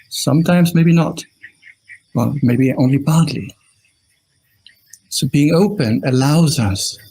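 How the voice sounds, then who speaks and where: A man with an Austrian accent and a slightly husky voice at a middle pitch. He teaches as a Buddhist monk in an informal, conversational way, checking in with his online listeners as he goes. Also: mellow, rough recording. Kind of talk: informal dhamma talk to an online audience.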